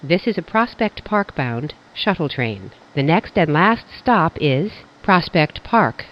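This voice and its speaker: A transit announcer reading scripted train announcements in a formal, measured way. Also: mid-range voice, very animated. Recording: dull, noisy train announcement recording.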